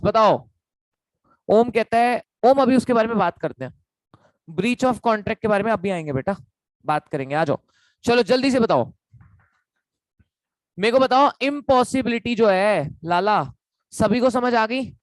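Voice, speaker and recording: Young Indian male tutor, hoarse throat, chatty and repetitive, dry close recording. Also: high voice, dry recording, poor audio. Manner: chatty, repetitive, calls students beta. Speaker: online law tutor. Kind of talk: live online lecture.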